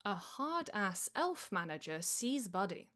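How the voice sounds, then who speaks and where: A woman's voice: medium pitch, sing-song, unhurried, fairly bright tone. Woman narrating a comedy script. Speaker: narrator reading stage directions. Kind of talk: scripted narration at a live comedy table read.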